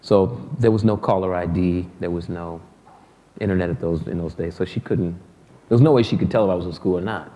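A middle-aged man from Detroit tells stories on stage, his speech loose, joking and salted with profanity. His tone is mellow and dark. The sound rings with the long reverb of a hall. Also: low-pitched, brisk. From Detroit, USA. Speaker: guest musician. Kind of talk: lecture interview on stage. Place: hall with an audience.

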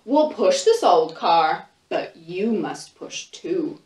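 A woman voicing big, boastful swamp crocodiles with a slow, lower, rumbling voice while she reads a storybook aloud. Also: big pitch swings, darkish tone, far from the mic. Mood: sly. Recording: roomy sound from off-mic.

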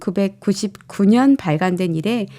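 A woman's voice: mid-range voice, moderate pace, dark tone. A woman storyteller presenting in a polite, explanatory style. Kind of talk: book introduction in polite presentation.

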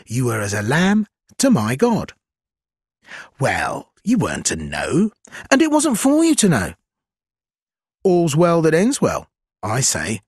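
A man voicing a sly, gleeful spider creature in a gothic fantasy game, husky and theatrical, with wheedling, archaic phrasing. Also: medium pitch, no echo, clean studio sound, heavily acted. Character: sly, gleeful, obsequious spider-bodied trickster zealot.

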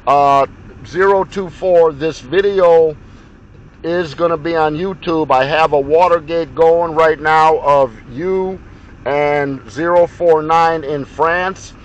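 A man's voice: a little high, slow pace, slight background noise. American man, radio operator, direct, dull radio sound. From USA. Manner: direct, procedural. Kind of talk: radio contact exchange. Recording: muffled radio reception.

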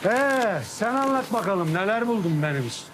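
An actor playing a quick-tempered, domineering middle-aged man who scolds and shouts in coarse slang. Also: high voice, expressive, noisy background. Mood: wry. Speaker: Affan, a local strongman. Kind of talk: TV drama acting. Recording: TV drama with music score.

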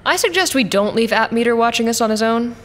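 An actress playing a calm, wry, practical squad leader in an audio drama, with a dry, measured manner. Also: female voice, a little high.

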